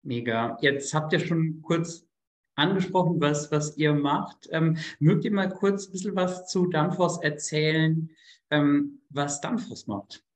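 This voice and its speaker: A man's voice: a little high, measured pace. A man hosting a podcast, putting casual interview questions to guests. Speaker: podcast host.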